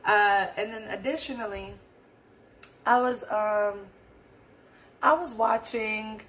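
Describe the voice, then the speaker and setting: A hoarse woman hosting her own podcast, talking through her thoughts in a rambling, earnest way. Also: medium pitch, slow pace, fairly bright tone. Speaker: podcast host. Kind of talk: casual solo reflection.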